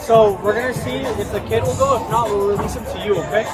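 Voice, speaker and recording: A male police officer with a fairly high voice talks very quickly, firm and coaxing as he negotiates on the street. His body camera picks up loud crowd noise and music around him. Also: fairly even intonation, low-quality recording. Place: outdoors on a busy street.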